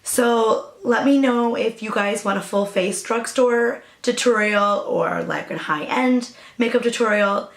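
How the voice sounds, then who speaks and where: A young woman with a raspy voice, chatting casually through a makeup tutorial as a new beauty vlogger. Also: a little high, measured pace, hardly any pauses, roomy sound. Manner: chatty, hesitant, with fillers and apologies.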